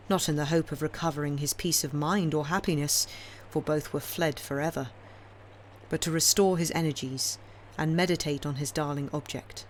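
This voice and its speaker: A woman reading classic fiction aloud as an audiobook narrator, in a measured, expressive, dramatic storytelling style. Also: low voice, a little hiss or hum. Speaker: audiobook reader.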